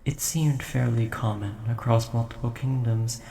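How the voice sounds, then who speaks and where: A man voicing a large, ancient, nerdy dragon, gentle and scholarly, rambling in formal speech in an audio roleplay. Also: mid-range voice, fairly even intonation, moderate pace, vocal fry, lightly acted. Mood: curious, musing. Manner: formal, rambling, thoughtful storyteller. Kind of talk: scripted audio roleplay addressed to the listener. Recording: roomy echo, faint background noise.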